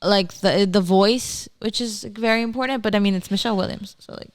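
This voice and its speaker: A woman's voice: medium pitch, a little fast. A young woman with a Texan accent, chatting as a podcast co-host, hesitating with "um" and restarts. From Texas. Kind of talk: casual chat.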